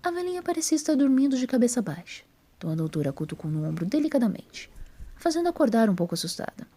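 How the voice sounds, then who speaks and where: Female story narrator, Brazilian accent, mellow tone, breathy and husky delivery for scripted fiction. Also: fairly high pitch, very animated, fast.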